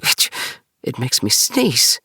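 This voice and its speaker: A man's voice: slow, sharp tone, no room sound. A man voicing a timid young boy kitten for a storybook, plaintive, hesitant and bewildered. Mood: puzzled, uneasy. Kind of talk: storybook character voice.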